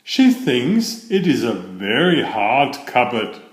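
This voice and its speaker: A man narrates a children's story at a slow, deliberate pace, his pitch rising and falling with plenty of animation. The voice sits in a medium male register, with audible room echo around it. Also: big pitch swings. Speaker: storyteller reading children's picture books. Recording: roomy echo on the voice.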